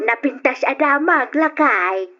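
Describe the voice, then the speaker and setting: Baket nga ina, natadem ti timekna. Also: female voice, high-pitched, animated, a little slow, sharp tone, faint music behind, heavily acted. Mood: friendly, teasing. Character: older village mother, friendly, teasing, animated wife. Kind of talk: old radio drama acting. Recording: muffled old radio drama recording.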